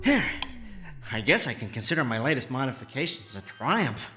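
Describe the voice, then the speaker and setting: A man playing an excitable, kindly amateur inventor in a radio drama, stammering and folksy. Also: medium pitch, normal speed, music in the background, natural voice. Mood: amazed, triumphant. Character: excitable, kindly amateur inventor, male former teleportation engineer.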